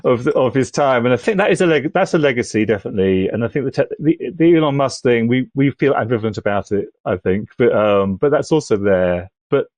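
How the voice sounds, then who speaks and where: A man with an average-pitched voice talks as a podcast guest, recorded very dry with no room sound. He is analytical and thoughtful, often breaking off and restarting a phrase. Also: expressive, fairly quick, hardly any pauses, a little mellow, no room sound. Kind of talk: thoughtful critical discussion.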